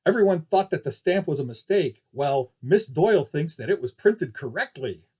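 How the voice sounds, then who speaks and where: Man playing a showy, husky circus barker. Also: fairly high pitch, lively intonation, normal speed, warm tone. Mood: boastful. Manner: declamatory, folksy showman patter. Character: showy male flying-circus barker with megaphone, grandly upbeat. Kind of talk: ballyhoo announcing in an audiobook story.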